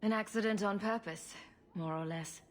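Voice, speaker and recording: An actress playing a confident, flippant young adventurer in a fantasy video game, with a dry, sarcastic, teasing manner. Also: female voice, mid-range voice, normal speed, lightly acted. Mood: dry wit. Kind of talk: dramatic video game acting.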